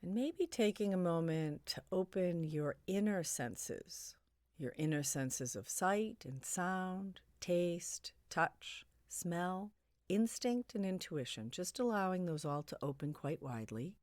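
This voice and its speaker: A woman leading a guided meditation in a soothing, gently suggestive way, her phrases broken by long pauses. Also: lowish voice, expressive, no room sound, studio quality.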